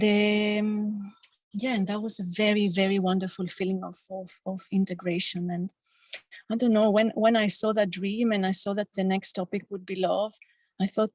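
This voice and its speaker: A woman whose first language is Greek recounts a personal experience on a video call, pausing and restarting as she searches for words. Her voice sits at a middle pitch, and the sound is close and dry, with no room around it. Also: darkish tone, no room sound. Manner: slow, halting, reflective storytelling. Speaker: satsang participant.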